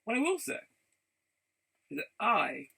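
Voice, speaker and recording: Very creaky-voiced man, casual and halting. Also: sits high, wildly swooping pitch, bright, forceful tone. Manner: halting, repeats and restarts phrases. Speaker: vlogger. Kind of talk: casual rambling vlog chat.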